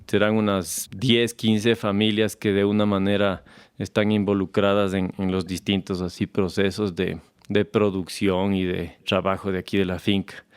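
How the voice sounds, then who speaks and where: A man from Ecuador with an Ecuadorian Spanish accent, a farmer talking as a guest in a relaxed, unhurried way, often restarting and repeating words. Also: deep voice, smooth. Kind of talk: relaxed conversational storytelling. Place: farm, recorded on location.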